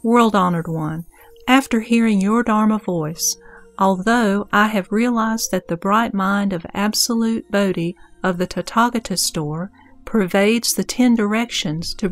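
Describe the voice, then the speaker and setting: A woman playing a reverent male disciple pleading with his master in a scripture audio drama, formal and measured. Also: mid-range voice, natural voice. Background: music.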